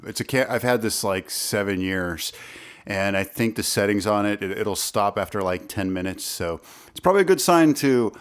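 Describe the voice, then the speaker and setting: A man with a deep voice talking casually to camera about songwriting, rambling with fillers and restarts, close on a studio condenser mic. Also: smooth. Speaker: songwriter vlogger.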